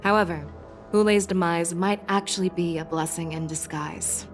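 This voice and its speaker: A woman voicing a resolute warrior general, low and measured. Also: steady tone, faint music behind, natural voice. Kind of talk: game dialogue.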